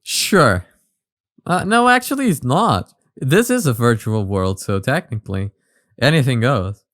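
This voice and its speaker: A man hosting a reaction video with casual, halting commentary, often repeating words as he goes. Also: medium pitch, very animated, a bit bright, no room sound, clean studio sound.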